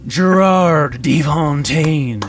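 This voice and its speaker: A man's voice: on the high side, drawn-out words, a little hiss or hum. A man voicing a pushy, grandstanding wrestling federation commissioner. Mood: bossy. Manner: bossy, grandstanding.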